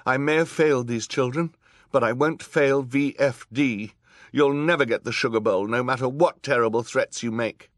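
A man's voice: medium pitch, normal speed, dry recording, studio quality, pitched above the performer's own voice, natural voice. A man voicing an earnest, distressed woman judge, indignant and pleading, in a storybook reading. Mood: defiant.

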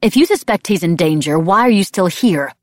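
A woman playing a fierce young witch in an audiobook, speaking sharply and forcefully with angry threats. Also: fairly low pitch, lively intonation, brisk, dry recording, clean studio sound. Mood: enraged. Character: furious young witch, fierce and protective of her mate.

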